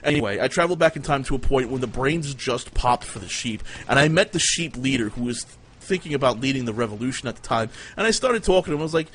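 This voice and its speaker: A man with a mid-pitched voice tells tall tales off the cuff on a live stream, ranting and rambling as he goes. He swears freely and keeps it casual. Some noise sits behind his voice. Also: animated, fast talker, a bit bright. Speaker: livestream host telling stories. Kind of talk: casual improvised tall-tale storytelling. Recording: noisy live stream room. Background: clear background noise.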